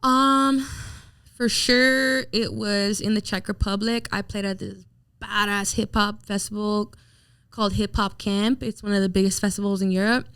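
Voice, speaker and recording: A woman's voice: mid-range voice, steady tone, normal speed, fairly bright tone, clean tone, no echo, clean studio sound. A woman in her thirties, a musician guest in a casual interview, telling her story candidly and with animation. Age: 30s.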